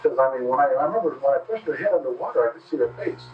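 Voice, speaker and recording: Halting man on echoey, distant interview tape. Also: medium pitch, lively intonation, lo-fi sound, soft background music. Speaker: confessing convict in police interview. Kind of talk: unscripted interview answers.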